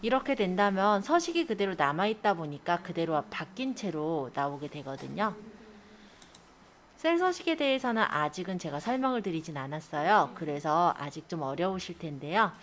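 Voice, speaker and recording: A woman's voice: fairly low pitch, very animated, moderate pace, fairly bright tone, slight background noise. A woman instructor giving a step-by-step spreadsheet lesson, explaining and often checking in with short tag questions. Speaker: computer skills instructor.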